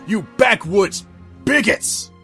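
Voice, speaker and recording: A man's voice: high voice, expressive, slow pace, creaky, fairly bright tone, full character voice. A man voicing an aggrieved, lovestruck unicorn stallion who defies royal law, formal and impassioned, in a fan audio drama. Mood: indignant. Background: music.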